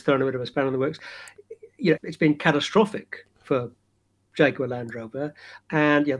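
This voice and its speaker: A man co-hosting a podcast, explaining things at length in a relaxed, conversational way. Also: a little high. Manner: explains at length, many "you know" fillers. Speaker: podcast co-host. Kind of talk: casual podcast chat.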